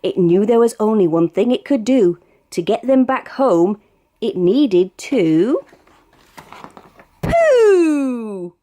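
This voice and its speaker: A woman with a British accent reads a rhyming children's picture book aloud in an expressive, sing-song storytelling way. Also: a little high, slow pace, long pauses. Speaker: storybook reader.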